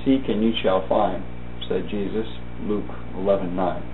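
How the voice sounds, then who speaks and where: A man reading a personal essay aloud as a thought for the day, in a measured, halting way, phrase by phrase. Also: sits low, fairly even intonation. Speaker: reader of a thought-for-the-day video. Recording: tinny band-limited audio, roomy echo, faint hum.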